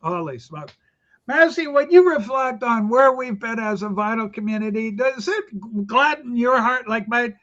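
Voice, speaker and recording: A man's voice: extremely high pitch, lively intonation, a little slow, a bit bright, highs cut off. A man hosts a loose, joking livestream chat. He talks with exclamations and keeps moving the conversation along. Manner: exclamatory, says "holy smoke" a lot. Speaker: livestream host. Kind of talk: casual comedic chat.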